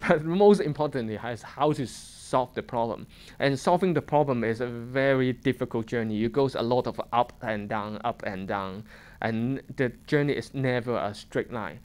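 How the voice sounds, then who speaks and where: A man in his thirties from Hong Kong with a Hong Kong accent, giving an informal seminar talk as a fund manager, halting and restarting often with small chuckles. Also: medium pitch, smooth. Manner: halting, many restarts and chuckles, plain conversational English. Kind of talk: informal lecture to students. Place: lecture room.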